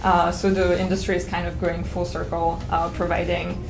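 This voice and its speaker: A woman presenting research findings on a panel, steady and factual with frequent uhs. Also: fairly low pitch, moderate pace, gravelly. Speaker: study author, panelist. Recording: echoey noisy live recording.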